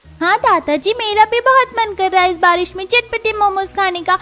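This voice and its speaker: A woman's voice: very high-pitched, normal speed, bright, forceful tone. A woman voicing a whiny, insistent young child in a cartoon story. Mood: pleading. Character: whining, pleading young child begging for treats. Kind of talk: Hindi cartoon story acting.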